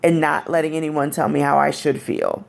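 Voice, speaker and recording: A young woman with a raspy, deep voice, speaking candidly in a personal vlog. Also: expressive, moderate pace. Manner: candid, frank and conversational. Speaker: vlogger. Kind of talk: personal storytelling to camera.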